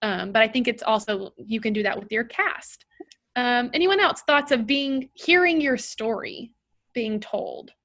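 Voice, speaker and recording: A woman's voice: on the high side, a little slow, a bit bright. A woman drama therapist, leading a group discussion and inviting each person to share. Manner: encouraging, asks follow-up questions.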